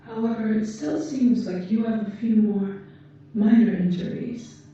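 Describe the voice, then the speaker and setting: A woman voicing a calm, caring female alien in an ASMR roleplay, speaking gently and formally in measured phrases to a patient. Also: mid-range voice, natural voice. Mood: calm, reassuring. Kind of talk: ASMR roleplay, scripted monologue to the listener. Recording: muffled, echoey, distant, faint noise.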